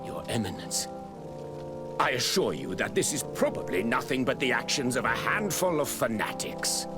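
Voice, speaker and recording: A man's voice: medium pitch, sing-song, moderate pace, close to an everyday voice. A man playing a defensive, scornful bishop in a video game, formal and insistent. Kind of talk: video game dialogue acting. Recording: music behind the voice.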